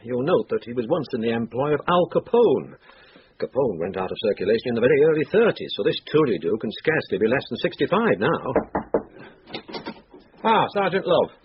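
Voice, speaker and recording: An actor playing a dry, formal, deferential English police inspector with a husky voice in a radio drama. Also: male voice, medium pitch, very animated, a little hiss or hum, lightly acted. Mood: deferential, businesslike. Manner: formal, measured, dryly precise. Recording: dull lo-fi radio recording.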